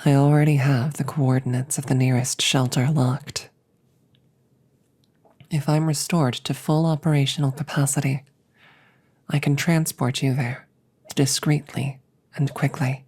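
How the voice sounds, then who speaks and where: A woman plays a female combat android with a very deep, dark voice. The machine speaks softly and formally, calm and protective, soothing the listener in slow, measured phrases. It is intimate roleplay audio drama. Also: full character voice. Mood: reassuring. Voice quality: soft, breathy, often near a whisper.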